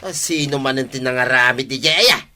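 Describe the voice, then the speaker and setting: A man's voice: mid-range voice, a little fast, sharp tone, faint music behind. A man acting an indignant, grumbling villager in a comedy radio drama, emphatic and huffing.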